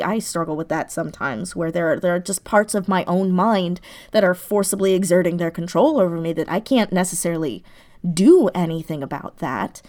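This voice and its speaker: Female interview guest, creative type. Conversational, reflective, halting delivery that doubles back on itself. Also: fairly low pitch, a little mellow. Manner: thoughtful, halting, circles back over phrases. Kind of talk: casual, reflective interview chat.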